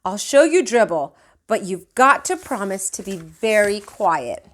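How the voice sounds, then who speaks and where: A woman's voice: medium pitch, big pitch swings, unhurried. A woman voicing a put-upon, exasperated fourth-grade boy who grudgingly protests, in a storybook.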